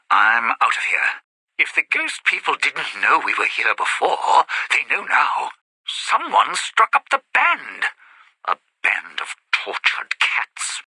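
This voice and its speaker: A cynical ghoul crooner from a video game, smug and always scheming. His voice is very sharp and badly rasped, and his wry phrasing swings up and down like an old showman's. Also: medium pitch, no room sound, exaggerated voice, poor audio. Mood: bitter muttering. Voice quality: raspy, gravelly. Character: cynical scheming ghoul lounge singer, smug con man. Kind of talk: video game character voice lines.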